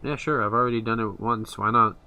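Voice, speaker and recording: A man's voice: lowish voice, steady tone, brisk, bright, forceful tone. A man giving casual let's play commentary, informally talking back to the game characters. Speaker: gamer, video creator.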